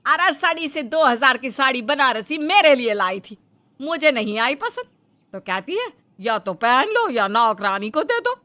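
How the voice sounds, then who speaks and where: A scolding middle-aged mother-in-law, played by a woman in a comic cartoon tale. Her voice is high and forceful, swinging dramatically with sarcasm and indignation. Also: very animated, measured pace, heavily acted. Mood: indignant. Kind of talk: acted comic cartoon story dialogue.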